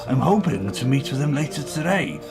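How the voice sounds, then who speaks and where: A man acting a fretful, old-fashioned father of a grown daughter in a radio play, with a gravelly voice. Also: medium pitch, big pitch swings, brisk, music in the background. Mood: evasive, uneasy.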